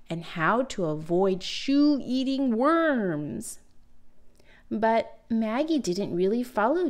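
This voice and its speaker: Woman reading a kids book aloud, takes it slow, super expressive, stresses words dramatically. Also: mid-range voice. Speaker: children's storybook narrator. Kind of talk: expressive read-aloud for young children.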